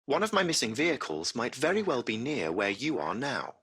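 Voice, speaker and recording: A man's voice: medium pitch, big pitch swings, a little fast, vocal fry, fairly bright tone, breathy edge, full character voice. A man voicing a courteous, composed artificial intelligence that runs a taxi fleet, speaking in formal, precise, butler-like phrases for a video game. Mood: composed, informative. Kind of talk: scripted video game voice acting.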